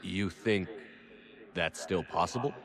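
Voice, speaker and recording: Sympathetic game commander: deep, direct, understated, murmuring ambience behind. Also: male voice, slow, a crowd in the background. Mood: probing. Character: steady, sympathetic male military commander. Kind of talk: video game cutscene acting.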